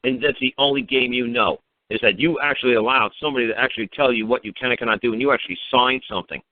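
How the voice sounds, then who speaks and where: A man talks at a rapid clip through a thin, phone-like connection with little room sound. He is blunt, emphatic and profane, ranting advice and throwing out mocking questions. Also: medium pitch, dry recording. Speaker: talk show speaker advising a caller. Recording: narrow-band internet radio call.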